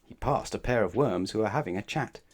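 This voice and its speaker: A man narrating a children's picture book aloud, expressive and often addressing the young listener directly. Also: fairly low pitch, brisk, slightly rough. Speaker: storybook narrator.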